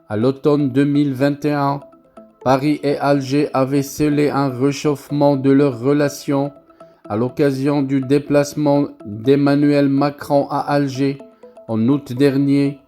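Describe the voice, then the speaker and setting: Male news commentator, scripted narration, unhurried, mellow tone, deliberate emphasis, light background music. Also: mid-range voice.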